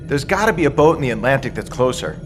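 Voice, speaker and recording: A man's voice: medium pitch, expressive, quick pace, natural voice. A man playing a blunt but deferential submarine executive officer in an audio drama. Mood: concerned. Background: music.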